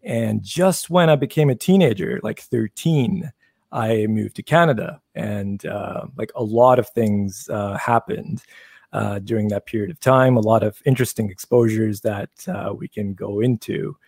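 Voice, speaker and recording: A man's voice: on the low side, gravelly, a little mellow, slightly rough, no echo. Thoughtful male interview guest. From Pakistan. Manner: thoughtful, measured, many fillers. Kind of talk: casual interview storytelling.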